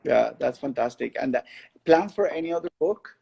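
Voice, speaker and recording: A man hosting a casual interview as a curator, putting questions in a halting way and rephrasing as he goes. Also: on the high side, animated, warm tone. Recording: clearly noisy, with clicks.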